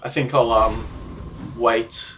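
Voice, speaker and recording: A man's voice: mid-range voice, fairly even intonation, slow, far from the mic, crowd noise. A man with a slight cold calling his gameplay. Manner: self-deprecating, thinking aloud about strategy. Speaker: gaming channel host. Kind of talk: gameplay commentary.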